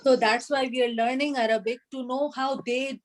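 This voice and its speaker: A woman teaching an online Arabic class, explaining grammar and translation patiently, with frequent fillers and pauses. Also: high-pitched, fairly even intonation.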